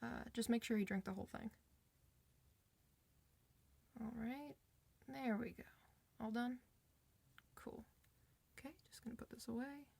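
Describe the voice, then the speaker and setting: A woman's voice: on the high side, creaky, dark tone, slightly rough. A woman playing a friendly, reassuring doctor for werewolves in an informal, one-sided ASMR roleplay. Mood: calm, reassuring. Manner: reassuring, informal, hesitant pauses.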